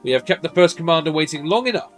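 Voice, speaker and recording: A man reading as an earnest, courtly fantasy adventurer with formal, measured, archaic speech. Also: fairly high pitch, expressive, soft background music, close to an everyday voice. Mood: polite, brisk.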